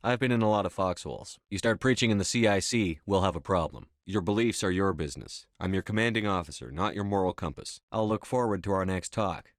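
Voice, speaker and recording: A man acting a stern, steady space-navy commander in a video game, with a measured, dry, authoritative delivery. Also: deep voice, no room sound, close to an everyday voice. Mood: firm, probing.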